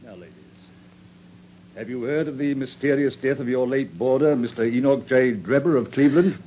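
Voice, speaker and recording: An actor playing a boastful, self-satisfied Victorian police inspector with a British accent, husky and excitable, in a radio drama. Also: male voice, medium pitch, moderate pace, slight background noise, natural voice. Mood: self-important recounting. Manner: pompous, excitable. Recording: muffled radio recording.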